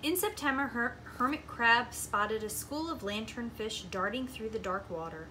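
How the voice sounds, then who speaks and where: A woman reading a children's story aloud like a preschool teacher, with expressive, deliberate phrasing. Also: a little high, a little hiss or hum, poor audio.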